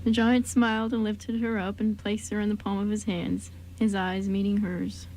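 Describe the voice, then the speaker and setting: A woman's voice: medium pitch, flat delivery, normal speed, darkish tone, slight background noise, rough recording. A woman reading scripted story narration as the narrator of a radio play.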